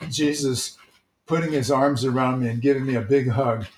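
A church elder shares memories in an unhurried, gentle way, his mid-range male voice steady and warm in delivery. The recording carries a noticeable room echo around him. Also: medium pitch, a little hiss or hum. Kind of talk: casual storytelling. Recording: roomy, echoey sound.

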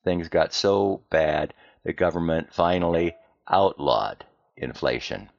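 An American man delivering a scripted, explanatory podcast monologue in a plain-spoken way, with deliberate emphasis and rhetorical questions. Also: sits low, expressive, gravelly, no echo, highs cut off. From United States. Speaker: podcast host.

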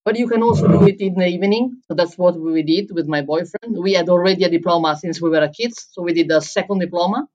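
A woman's voice: fairly low pitch, a little mellow. Italian woman, english not her first language, lots of ums, bit muffled lo-fi sound. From Italy. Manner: halting non-native phrasing with fillers. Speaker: guest, head barista and coffee coach. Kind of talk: casual interview answers.

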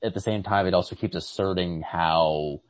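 A man co-hosting a podcast, casually discussing literature in a halting manner as he searches for words. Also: sits low, no room sound. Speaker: podcast co-host.

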